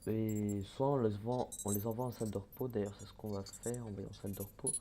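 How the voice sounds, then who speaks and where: A man's voice: fairly low pitch, fairly even intonation, quick pace, warm tone. A young man giving casual let's-play commentary, hesitant and full of fillers, muttering to himself as he plays. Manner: hesitant, many fillers and restarts, mutters while playing. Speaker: gaming YouTuber. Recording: faint background noise.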